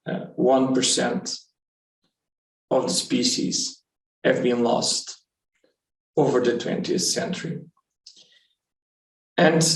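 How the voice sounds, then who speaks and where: Gravelly, slow-speaking man presenting. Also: medium pitch. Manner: deliberate, halting phrasing. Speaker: researcher presenting results. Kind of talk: webinar scientific presentation. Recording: echoey room, distant from mic.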